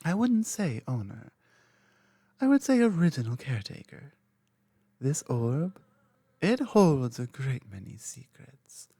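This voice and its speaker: A woman voicing an ancient, dominant female dragon queen in an ASMR roleplay audio, speaking in a slow, cryptic, commanding way that slips into soft whispers. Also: deep voice, no room sound, heavily acted.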